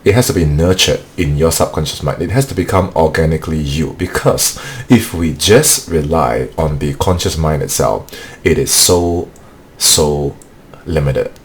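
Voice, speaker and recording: A low-voiced man leads a motivational live-stream training for remote sales closers. He talks earnestly and repeats himself, dropping "okay" between points. A faint hum sits under the voice. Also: moderate pace. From Singapore. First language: not English. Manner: earnest, repetitive, peppers speech with "okay".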